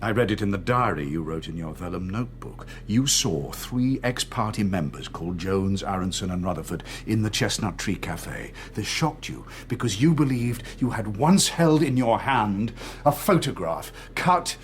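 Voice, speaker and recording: A middle-aged man acting a cold, controlled interrogator in a radio drama, measured, didactic and condescending. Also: on the low side, expressive, a little hiss or hum, close to an everyday voice. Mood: patient, condescending. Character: cold, controlled party interrogator and torturer, patronising and menacing.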